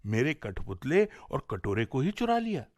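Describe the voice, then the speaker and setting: A simple-hearted folk-tale man, acted by a male narrator, speaks up in earnest reproach. His mid-range voice is expressive and commanding, recorded close and dry. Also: medium pitch, normal speed, no room sound. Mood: reproachful.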